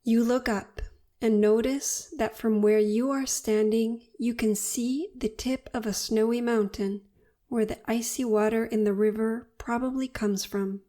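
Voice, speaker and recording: A woman narrating a guided meditation in a soothing, unhurried and deliberate manner. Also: fairly high pitch, fairly even intonation, mellow.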